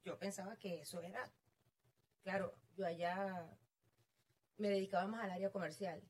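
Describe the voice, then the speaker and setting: Middle-aged Venezuelan woman, conversational, medium pitch. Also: fairly even intonation, normal speed, slightly rough. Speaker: interview guest, real estate agent. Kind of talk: casual conversational storytelling.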